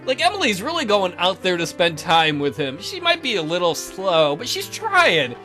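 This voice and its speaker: A man's voice: high-pitched, huge pitch swings, moderate pace, sharp tone, soft background music. A playful man reading a visual novel aloud and adding joking commentary as a let's play host. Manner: playful, jokey.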